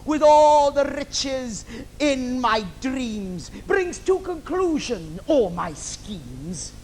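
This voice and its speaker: A man's voice: very high voice, big pitch swings, drawn-out words, darkish tone, exaggerated voice. A man playing a scheming, camp old villain in an adult pantomime, theatrical and declamatory, on a theatre stage. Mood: gloating. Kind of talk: adult pantomime stage acting with rhyming verse and innuendo. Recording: noisy live theatre stage recording.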